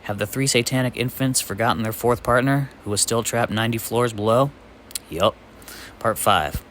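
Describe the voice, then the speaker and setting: A man reading absurd comic literary snippets aloud in a deadpan storytelling manner. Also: mid-range voice, moderate pace, a little hiss or hum. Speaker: amateur reader.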